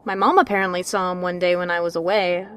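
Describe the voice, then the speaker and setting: A woman's voice: lowish voice, steady tone, quick pace, a bit bright, a little hiss or hum. A woman giving a dramatic reading of a personal scary story as a storyteller.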